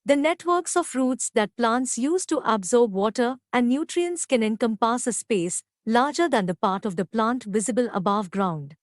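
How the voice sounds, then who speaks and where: Woman doing careful read aloud for exam practice, voice a bit high, no room echo. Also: expressive, moderate pace, hardly any pauses, clean studio sound. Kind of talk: scripted read-aloud of academic passages.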